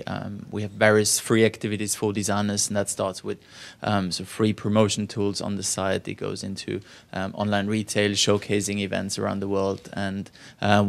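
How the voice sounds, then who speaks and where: A man's voice: fairly low pitch, vocal fry. A man introducing himself as a company founder on a panel, in a careful delivery. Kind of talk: self-introduction.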